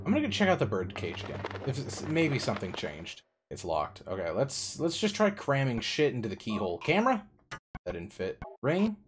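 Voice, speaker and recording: A young man live-streaming a horror game on a headset mic, joking and cursing, with loud excited reactions. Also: mid-range voice, fairly quick, slight background noise. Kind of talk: casual live-stream commentary.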